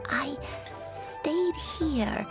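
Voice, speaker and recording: A woman voicing a frail, gentle, forgetful elderly grandmother in a video game, halting and tender. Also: high voice, lively intonation, music in the background, heavily acted. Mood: sad, halting. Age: older. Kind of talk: video game character dialogue.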